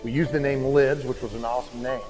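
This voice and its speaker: Male business founder, mid-pitched, plain matter-of-fact telling, music playing underneath. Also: steady tone, fast talker. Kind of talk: interview clip. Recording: clip with loud music under it.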